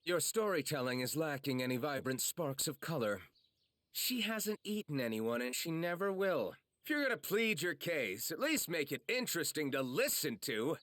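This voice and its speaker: A man's voice: a little high, very animated, moderate pace, fairly bright tone, no echo. A man playing a flamboyant, haughty swordsman in an anime game, full of showy, theatrical disdain. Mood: scornful. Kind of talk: English anime game voice acting.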